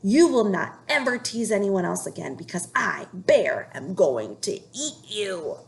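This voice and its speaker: A woman voicing a big, grumpy male bear who growls low threats. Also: very animated, measured pace, vocal fry, a little hiss or hum. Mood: menacing.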